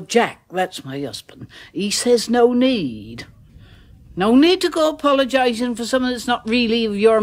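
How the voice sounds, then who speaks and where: A woman's voice: mid-range voice, darkish tone. An actress playing an uneasy older village woman in a radio drama, talking in rustic dialect and often dropping to a whisper. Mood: apologetic. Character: older village woman, a nervous, superstitious local. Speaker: village neighbour.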